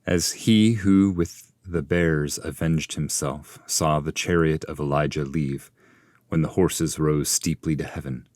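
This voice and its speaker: A man reading classical epic poetry as an audiobook narrator, in a solemn, measured, literary delivery. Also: a bass voice, darkish tone, dry recording, clean studio sound.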